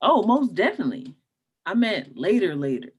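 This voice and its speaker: A woman plays a laid-back friend who is up for partying, in a comedy table read. The delivery is slangy and teasing, with lively pitch at a medium height. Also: normal speed, a little hiss or hum, lightly acted. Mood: sheepish. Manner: casual slangy talk.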